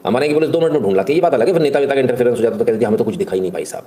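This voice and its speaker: A male live-show host with a medium-pitched, dark, relaxed tone. He delivers opinionated news commentary in an emphatic, colloquial way, throwing rhetorical questions at his audience and slipping into English phrases. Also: very fast.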